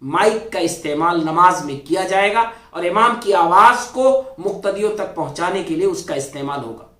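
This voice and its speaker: A man lectures on religion from some distance off the microphone in an echoey room. His delivery is deliberate and explanatory, with an orator's pauses and repeated phrases. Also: sits high, animated, bright, forceful tone. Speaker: Islamic scholar giving a religious talk. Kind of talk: religious lecture in formal Urdu. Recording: roomy echo, distant microphone.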